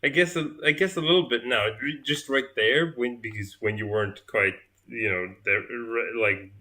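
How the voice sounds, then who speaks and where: A man's voice: mid-range voice, normal speed, sharp tone. A man in his thirties, co-hosting a casual livestream from home, chiming in with teasing jokes. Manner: joking, teasing, chimes in. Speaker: co-host partner. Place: living room indoors.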